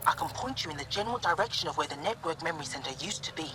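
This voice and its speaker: A man's voice: on the high side, lively intonation, very fast, noisy background, lightly acted. A man playing a weary but courteous alien researcher in a video game.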